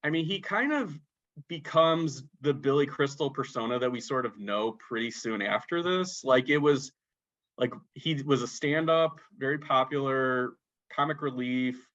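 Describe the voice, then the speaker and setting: A man co-hosting a casual movie podcast, talking in an animated way and peppering his speech with "like". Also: mid-range voice, measured pace, lo-fi sound. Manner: animated, filler-heavy talk with lots of "like". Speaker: podcast co-host.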